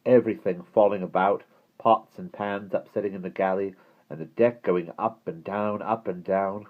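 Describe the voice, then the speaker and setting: A cheeky English schoolboy from a storybook, put on by a man reading aloud. He is boisterous and combative, with a brisk delivery lifted in pitch. The sound is a little dull. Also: big pitch swings, breathy edge. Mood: gleeful, teasing.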